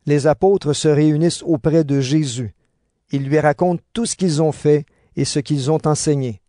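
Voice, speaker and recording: A man giving scripted narration for an audio Bible drama in a measured storytelling manner. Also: on the high side, fairly even intonation, mellow, dry recording, studio quality. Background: background music.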